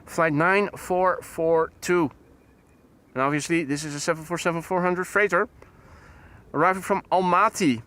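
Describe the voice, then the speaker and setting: A man's voice: on the high side, steady tone, normal speed, bright, forceful tone, smooth. A man hosting a live plane-spotting stream, chatting and greeting viewers by name as he commentates. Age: 40s.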